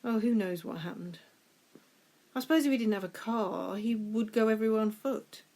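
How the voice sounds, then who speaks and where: An actress playing a plain-spoken police officer who questions and muses, in a radio play. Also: female voice, medium pitch, moderate pace, dark tone, lightly acted. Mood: musing. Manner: questioning, musing. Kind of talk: naturalistic radio play acting.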